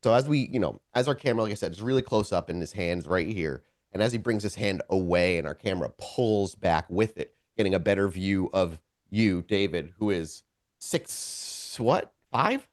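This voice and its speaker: A man's voice: sits low, expressive, normal speed, dry recording, clean studio sound. A man narrating a tabletop role-playing game as game master, improvising scene descriptions and often correcting himself as he searches for words.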